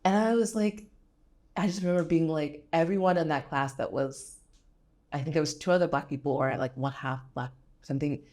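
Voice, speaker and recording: A woman's voice: low voice, fairly quick, a little mellow. An American woman talking casually as a podcast guest, telling anecdotes in an informal way and quoting people as she goes. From United States. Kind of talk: casual conversation.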